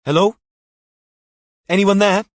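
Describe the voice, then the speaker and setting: A man's voice: sits high, fairly even intonation, slow pace, no echo, clean studio sound, voice pushed up. Man voicing a cautious, wry female police inspector. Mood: calling out, concerned. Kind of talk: audiobook character dialogue.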